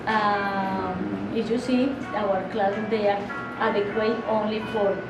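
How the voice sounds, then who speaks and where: A woman from Colombia, a native Spanish speaker with a Colombian accent, speaking as a Spanish teacher at a school open house, halting and restarting her sentences. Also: medium pitch, steady tone. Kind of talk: open-house classroom talk. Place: school classroom. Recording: echoey room from far off, loud music behind.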